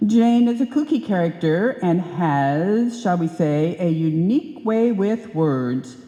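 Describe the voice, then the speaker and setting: A woman's voice: fairly low pitch, lively intonation, takes it slowly, warm tone. A woman announcer reading a scripted introduction to a live radio show in a chatty, theatrical way. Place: indoor hall stage, live performance. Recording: echoey hall, live stage.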